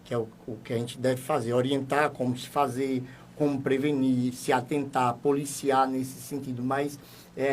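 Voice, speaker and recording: A man's voice: medium pitch, vocal fry, darkish tone. A man in a radio studio interview explains his points in a formal way and presses them with growing emphasis. He sits back a bit from the microphone, so the voice comes across slightly distant. There is a faint hum of room ambience behind him. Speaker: municipal education secretary.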